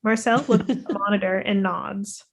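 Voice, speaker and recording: A woman's voice: mid-range voice, steady tone, normal speed, vocal fry. A young woman narrating stage directions from a script over a video call. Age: young adult.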